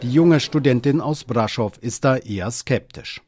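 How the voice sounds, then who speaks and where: A man reading a scripted news report as a documentary voice-over, in an even, measured way. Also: medium pitch, slight background noise.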